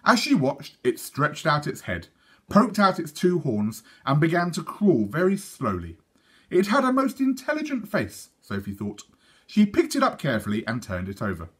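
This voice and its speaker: A British man, a teacher, reading a storybook aloud to children in an animated way. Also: a little high, moderate pace, slightly rough.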